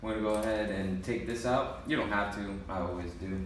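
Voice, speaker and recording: Low-voiced man explaining car repair. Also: a little fast. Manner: casual step-by-step instruction while working. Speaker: auto mechanic. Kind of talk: how-to repair vlog. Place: auto repair shop garage. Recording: echoey garage with faint noise.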